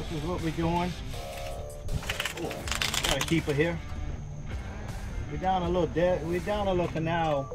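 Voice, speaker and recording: A man, chatting casually to camera as host of a fishing show, out on an open boat. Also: a little high. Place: outdoors on a small open boat on the water. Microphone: action camera a few feet away.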